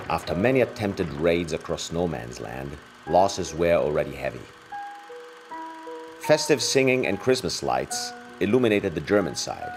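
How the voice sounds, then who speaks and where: A man reading scripted, dramatic documentary narration as a narrator. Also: low voice.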